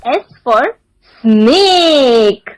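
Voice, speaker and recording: A woman narrating a children's alphabet lesson in a deliberate sing-song manner, enunciating each word. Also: high voice, animated.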